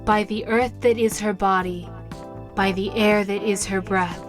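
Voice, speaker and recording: An actress playing a confident, tender witch who mentors with soothing, measured words in an audio drama. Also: mid-range voice, fairly even intonation, lightly acted. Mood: intimate, incantatory. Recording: music playing behind. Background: music.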